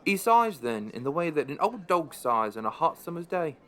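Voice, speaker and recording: A man's voice: on the high side, very animated, normal speed, fairly bright tone. A man playing a middle-aged pub landlord who narrates a radio play in a dry, understated, wry way. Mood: dry, amused.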